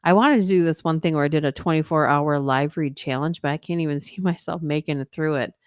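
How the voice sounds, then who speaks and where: A woman's voice: low-pitched, clear, resonant tone, no room sound, clean studio sound. A woman hosting a late-night live stream, reading ghost stories aloud with chatty asides, her voice worn and tiring. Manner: steady reading voice breaking into quick laughing asides. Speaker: livestream host reading stories.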